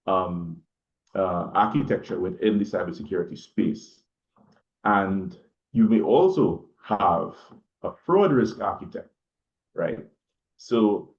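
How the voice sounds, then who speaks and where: A man from Trinidad and Tobago, a panelist explaining security roles in a halting way full of ums. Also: low-pitched, muffled recording. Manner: halting, many ums, thinks aloud. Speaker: panelist, IT consultant. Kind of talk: panel discussion answer.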